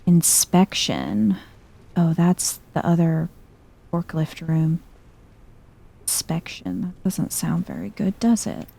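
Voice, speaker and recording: A woman streams a game in a soft ASMR style, thinking aloud in a low-key way. Her voice is very dark and relaxed, with a creaky fry at the edges. She takes her time, speaking slowly and gently. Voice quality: soft, hushed, husky. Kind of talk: soft-spoken ASMR gameplay commentary.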